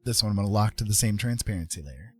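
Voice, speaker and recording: A man speaks in a fairly low voice over soft background music. He hosts a casual art stream, talking through each step as he goes. His delivery is halting and rambling, like someone working things out aloud. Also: lively intonation, breathy edge. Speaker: livestream host, digital artist. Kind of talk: casual chat while drawing on a livestream.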